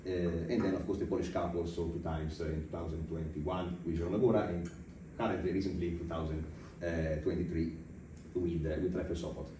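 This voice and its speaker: A man's voice: deep voice, fairly even intonation, brisk. A man hosting a podcast interview, asking questions in formal phrasing broken by many hesitations. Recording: roomy echo, distant mic, faint noise.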